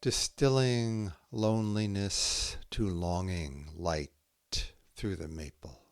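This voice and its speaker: A man reading short poems aloud in a measured way, setting each brief phrase apart with pauses. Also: deep voice, fairly even intonation, clean tone, dry recording, clean studio sound. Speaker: podcast host reading poetry aloud. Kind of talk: poetry reading, haiku recited line by line.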